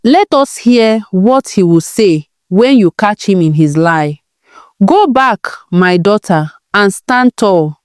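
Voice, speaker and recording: A woman's voice: medium pitch, lively intonation, takes it slowly, clear, resonant tone, no echo, clean studio sound. A woman voicing a protective, indignant older motherly figure who counsels urgently in a told folktale. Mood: firm counsel.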